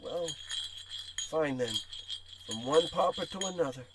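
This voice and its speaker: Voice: medium pitch, a little slow, natural voice. An actor playing a curt pauper passerby at Christmas in an old-time radio play. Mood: grudging. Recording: very noisy, jangling bell sounds behind.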